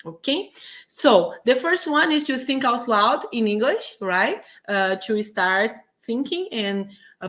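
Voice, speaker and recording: A woman's voice: mid-range voice, a bit bright. A woman from Brazil with a Brazilian Portuguese accent, a native Portuguese speaker, teaching English in a chatty, casual way with many fillers and restarts. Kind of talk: casual online lesson. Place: home in Quebec.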